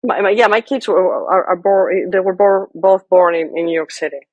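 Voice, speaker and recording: A woman with a heavy Greek accent answers interview questions in an earnest, halting way, circling back to restart her phrases. She sounds to be in her forties. The recording is dry, with no room sound. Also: mid-range voice, no echo, muffled recording, soft background music. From Greece. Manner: earnest, with frequent restarts and fillers. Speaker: guest, political candidate.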